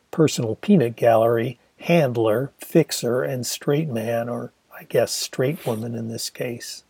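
Husky older man reading his memoir. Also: medium pitch, warm tone, no room sound. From Michigan, USA. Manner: wry, conversational storytelling. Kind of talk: audiobook narration.

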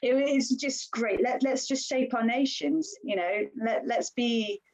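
A British woman, a village councillor, talking in an animated, earnest way as a guest in a casual podcast conversation. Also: a little high, measured pace. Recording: slightly dull lo-fi sound.

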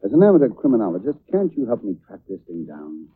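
An actor playing a worried, pleading theater owner in a 1940s radio drama. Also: male voice, lowish voice, huge pitch swings, quick pace, husky, heavily acted. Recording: hissy narrow-band old radio recording.

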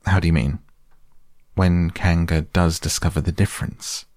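A man voicing a brisk, bossy, scheming rabbit in a storybook, with an instructing manner. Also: sits low, steady tone, darkish tone, dry recording, clean studio sound, natural voice. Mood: brisk, impatient.

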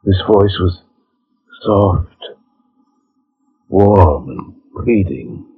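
Deep-voiced haunted gentleman, vintage radio. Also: expressive, very slow, gravelly, slight background noise, full character voice. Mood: brooding. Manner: dramatic, hushed narration breaking into anguished pleading. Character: troubled gentleman narrator, haunted and torn by longing. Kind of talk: 1940s radio drama acting. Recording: dull 1940s radio recording.

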